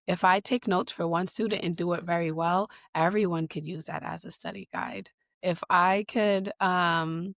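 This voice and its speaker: A woman from Boston, a guest in an interview, answering in a conversational way with many pauses, fillers and restarts. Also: lowish voice, dry recording, studio quality. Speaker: guest, digital equity leader.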